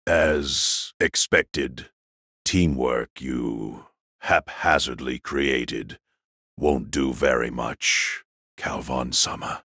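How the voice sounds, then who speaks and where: A man as fantasy warrior, extremely low voice, slow, formal, politely dismissive. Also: gravelly, sharp tone, no echo, clean studio sound, exaggerated voice. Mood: cool politeness. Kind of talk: fantasy audio drama acting.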